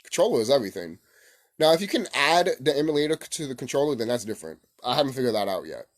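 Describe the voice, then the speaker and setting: A man hosting a casual, unscripted gaming vlog, rambling excitably, repeating himself and swearing casually. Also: medium pitch, rapid-fire. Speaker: gaming video host.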